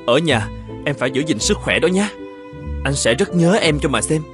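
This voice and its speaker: A man voicing an earnest, troubled young male painter and devoted husband in an animated fairy tale. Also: on the high side, normal speed, over music, lightly acted. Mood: tender.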